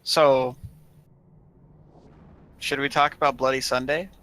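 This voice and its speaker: A man's voice: medium pitch, level tone, takes it slowly, sharp tone, low-quality recording. A man telling a story in a casual group chat as one of a gaming crew.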